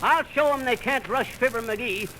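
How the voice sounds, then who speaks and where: An actor playing a folksy, bumbling, boastful husband who blusters and stammers, in old radio comedy style. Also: male voice, very high voice, normal speed, pushed and bright. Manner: folksy grammar, stammers when flustered. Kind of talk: 1930s-40s radio comedy acting. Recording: noisy old radio broadcast recording.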